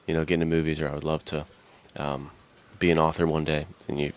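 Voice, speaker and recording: A man's voice: very deep voice, flat delivery, quick pace. A man co-hosting a podcast, talking casually and informally with plenty of slang. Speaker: podcast co-host.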